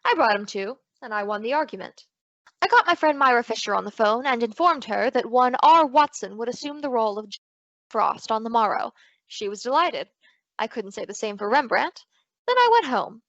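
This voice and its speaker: A woman's voice: fairly high pitch, big pitch swings, moderate pace, fairly bright tone, no echo. A woman playing a sassy, breezy lady detective with wry quips in an old-time radio drama. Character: sassy, wisecracking lady private detective, confident and flirtatious. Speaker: detective lead and narrator. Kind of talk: old-time radio detective acting and first-person narration. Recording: dull lo-fi audio.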